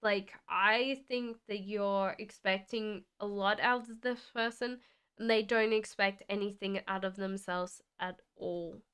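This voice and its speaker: A woman's voice: medium pitch, fairly even intonation, a little slow, a bit bright, clear, resonant tone. A woman from Australia, with an Australian accent mixed with British, chatting through a live-stream tarot reading, reading viewer comments aloud and laughing often. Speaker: tarot reader. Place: home in the UK.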